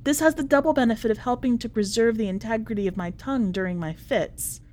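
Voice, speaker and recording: A genteel captive letter writer acted out by a woman in a storybook reading, with a fairly high voice. The manner is prim and ornate, chatty and wistful, with a quietly unhinged streak under the politeness. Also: quick pace, a little hiss or hum, close to an everyday voice. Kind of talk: dramatic short-story reading.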